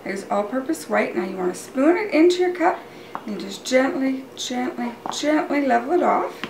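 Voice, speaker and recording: A woman's voice: high-pitched, lively intonation, moderate pace, gravelly. A middle-aged woman giving a chatty, step-by-step cooking demonstration in a casual way, as a home cook hosting her show. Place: home kitchen, indoors. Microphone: camera mic at a few metres. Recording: distant, roomy, loud kitchen clatter and noise.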